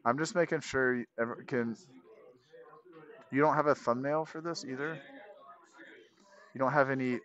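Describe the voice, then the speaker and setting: A man's voice: mid-range voice, fairly even intonation, normal speed, long pauses, fairly bright tone, dull audio. A man chatting casually as a guest streamer, rambling and joking as he goes. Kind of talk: casual livestream chat.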